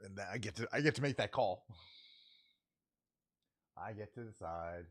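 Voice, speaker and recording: A man's voice: on the low side, expressive, a little slow, a little hiss or hum. A middle-aged man hosting his own livestream, chatting casually as he signs off, rambling and correcting himself, his voice a little worn. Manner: rambling, self-correcting, muttered asides. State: voice a bit worn from travel. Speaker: livestream host. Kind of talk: casual stream sign-off chat.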